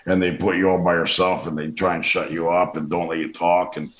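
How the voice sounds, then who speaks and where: A man with a loud, forceful way of talking, speaking in a casual interview with frequent restarts and fillers. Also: low voice, little pitch movement, brisk, reverberant, slight background noise. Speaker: political commentator.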